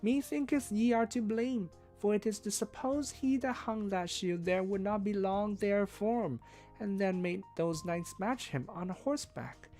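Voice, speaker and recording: A woman's voice: medium pitch, darkish tone, lightly acted. A woman voicing an earnest, honourable medieval knight with formal, archaic declaiming in a storybook. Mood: solemn, indignant. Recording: faint music behind the voice.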